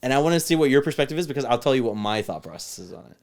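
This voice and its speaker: Guy cohosting a chat show, pitch jumps all over, loud reactions. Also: very fast. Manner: expressive, laughs and exclaims a lot. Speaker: podcast co-host and musician. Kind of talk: casual banter.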